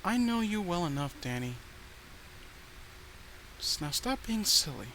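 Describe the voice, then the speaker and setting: A man's voice: high voice, very animated, measured pace, sharp tone, full character voice, low-quality recording. A man voicing an unhinged, possessive girlfriend who is volatile, pleading and cloying, in a horror story reading. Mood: sweetly possessive. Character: unhinged clingy murderous girlfriend, possessive, swinging from rage to sweetness. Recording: noticeable background noise.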